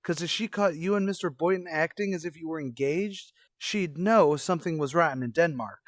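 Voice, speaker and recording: A man acts out an excitable teenage boy from an old-time radio comedy. His voice is on the high side, eager and brash, with breezy slang and a dry, close sound. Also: fairly quick, no echo, lightly acted. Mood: scheming, brash.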